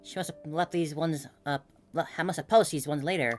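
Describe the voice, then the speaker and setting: A woman's voice: low-pitched, brisk, darkish tone. A woman giving casual game playthrough commentary, musing to herself in murmured reactions. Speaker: game streamer. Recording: clear game music behind voice. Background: game music.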